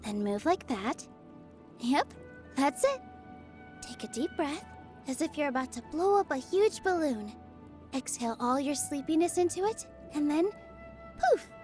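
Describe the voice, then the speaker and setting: A woman voicing a gentle, sunny young dancer in a video game, earnest and encouraging, with a sweet, coaxing manner. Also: high-pitched, very animated, unhurried, pauses often, faint music behind. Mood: cheerful, coaxing. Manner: sweet, soft-hearted, bubbly, coaxing. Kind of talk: scripted video game character lines.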